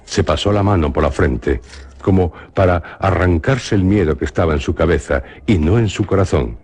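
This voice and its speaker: Brief: solemn male narrator from Spain, extremely deep voice, radio drama narration. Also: darkish tone, husky. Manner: measured, solemn storytelling.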